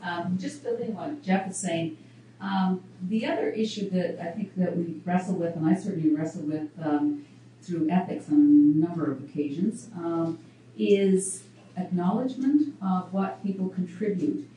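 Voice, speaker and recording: A woman's low, mellow voice making an earnest point at a community café panel. She pauses on "um" often but stays firm. The distant mic catches heavy room echo and a faint hum. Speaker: researcher. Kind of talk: panel discussion. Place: coffeehouse event room.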